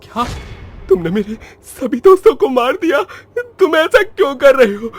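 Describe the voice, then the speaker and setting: A man's voice: sits high, expressive, a little slow, a little hiss or hum. An actor playing a frightened young man in a horror story, speaking in an urgent, exclamatory way. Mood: distressed questioning. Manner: exclamatory, urgent, panicky delivery. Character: frightened young man trapped in a haunted maze. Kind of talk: dramatised horror story acting. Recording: music under the voice.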